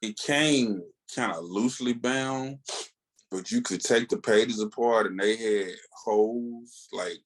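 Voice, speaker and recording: Low-voiced American man chatting enthusiastically. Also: takes it slowly. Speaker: comics podcast co-host. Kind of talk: casual fan chat.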